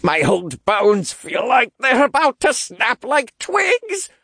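High, strained old sorcerer. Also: male voice, sing-song, moderate pace, fairly bright tone, slightly rough, no echo, clean studio sound, exaggerated voice. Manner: strained, fretful.

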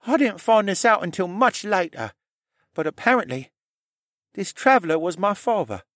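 A man voicing an anxious, tearful young stable boy in an audiobook, with a rough, halting, stammering and pleading delivery. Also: high voice, lively intonation, a bit bright, no room sound, studio quality, pitched above the performer's own voice. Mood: hesitant, confiding. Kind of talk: audiobook character acting.

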